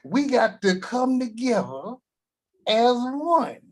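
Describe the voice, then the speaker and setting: An actor at a table read playing a boastful, crude, newly rich grandfather, talking in a slow drawl. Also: very high-pitched, very animated, exaggerated voice.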